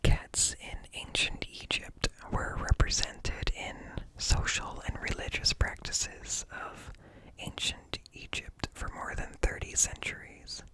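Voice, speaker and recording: Slow, whispering man. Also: low-quality recording. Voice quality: breathy, unvoiced whisper. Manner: slow, deliberate, hushed, broken into short phrases. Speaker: ASMR host. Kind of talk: whispered ASMR reading of encyclopedia articles. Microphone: close ear-to-ear microphone.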